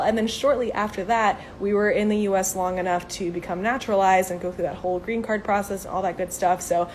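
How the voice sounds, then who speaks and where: A woman's voice: mid-range voice, a little mellow, a little hiss or hum. A woman in her twenties, from Venezuela, chatting on a live stream and answering viewer questions in an upbeat, filler-filled way, filmed on a phone held at arm's length outdoors by a brick wall. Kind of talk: casual live chat. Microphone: phone camera at arm's length.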